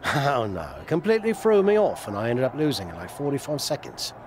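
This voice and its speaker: A man's voice: medium pitch, very animated, a little fast, slight background noise, close to an everyday voice. A man playing a veteran wrestler turned mentor in a game cutscene, rambling and self-deprecating yet encouraging. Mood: wry, rueful. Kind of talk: video game cutscene acting.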